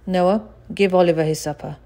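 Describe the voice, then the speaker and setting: A thin, gloomy undertaker from a storybook, a man voiced by a woman. He is miserly and speaks in a measured, matter-of-fact way, all business. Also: lowish voice, steady tone, mellow, lightly acted. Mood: curt.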